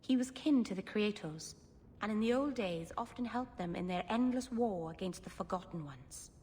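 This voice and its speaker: A woman's voice: medium pitch, expressive, normal speed, a little mellow, slight background noise, natural voice. An actress playing an earnest female elf storyteller telling myths in a fantasy game. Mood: solemn storytelling. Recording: long reverb, clear background ambience.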